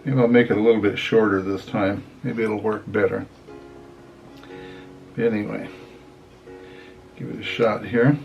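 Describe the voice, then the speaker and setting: A man's voice: on the low side, little pitch movement, unhurried, vocal fry, long pauses, highs cut off, soft background music. Older grandpa, folksy storytelling man. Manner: folksy, rambling, often saying "anyway". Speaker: grandfather reading picture books to children. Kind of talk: casual storytelling and chat to children.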